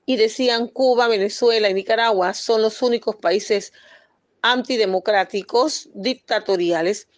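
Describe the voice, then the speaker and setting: A woman's voice: fairly high pitch, a little slow, no room sound. A woman from Panama, speaking as an activist in a firm, insistent press statement with occasional hesitations.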